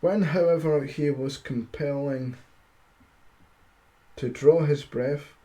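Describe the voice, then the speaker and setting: A man streaming amateur storytelling, halting as he reads, with casual, self-deprecating asides. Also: on the high side, mellow, highs cut off. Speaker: streamer reading stories aloud.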